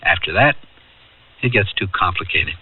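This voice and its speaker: A man's voice: sits low, animated, moderate pace, far from the mic. An actor playing a wistful ordinary man who looks back on a youthful summer holiday in reflective, conversational radio drama narration. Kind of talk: 1970s radio drama first-person narration. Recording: muffled radio drama tape, music behind.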